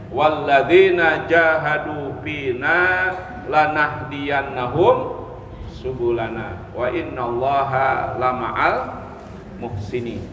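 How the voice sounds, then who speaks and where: Man, Islamic teacher lecturing; slow, instructive delivery with repeated phrases, recorded in an echoing hall with some background noise. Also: mid-range voice, a bit bright. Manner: didactic, repeats key phrases, pauses to check with listeners. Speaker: Islamic preacher, religious teacher. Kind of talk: sermon, religious lecture to a study group. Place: mosque hall, live religious gathering. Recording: echoey hall with long reverb, background noise.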